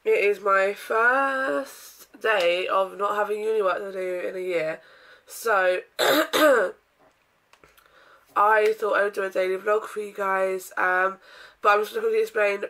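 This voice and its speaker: A young woman vlogging casually from her bedroom, with a croaky voice from a sore throat, chatting in a rambling way with lots of ums and throat clearing. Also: medium pitch, a little slow, a bit bright, rough recording. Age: young adult. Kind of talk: casual day-in-my-life vlog. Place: bedroom, indoors.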